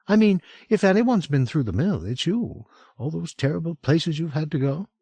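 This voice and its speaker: Chatty middle-aged woman, warm and teasing, lilting delivery with lively rises; a male narrator puts her on, dry close sound. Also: a little high, moderate pace, breathy edge, no room sound, voice pushed up. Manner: lilting and chatty, drops to a hush. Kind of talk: audiobook character voice.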